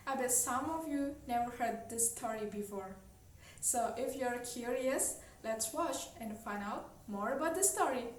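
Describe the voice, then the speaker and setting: A woman's voice: fairly high pitch, animated, a little slow, slight background noise. A woman from Indonesia narrating a children's story as a scripted storyteller for a puppet show.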